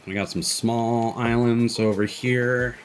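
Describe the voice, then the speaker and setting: A man's voice: medium pitch, steady tone, takes it slowly, a little hiss or hum. A man giving casual live commentary as he streams a video game. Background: game music.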